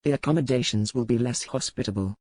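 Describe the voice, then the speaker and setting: A woman's voice: a bass voice, quick pace, a little mellow, dry recording, studio quality, voice pushed down. A woman voicing a curt, proud, menacing pirate lord with formal, archaic phrasing in an audiobook. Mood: cold menace. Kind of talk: audiobook character dialogue.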